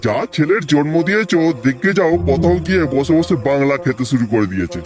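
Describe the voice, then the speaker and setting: A disapproving cartoon dad acted by a man, slightly high for a male voice, grumbling sarcastically at a quick clip. Loud music runs behind him. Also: animated, lightly acted. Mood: bitter sarcasm. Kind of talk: cartoon comedy dialogue.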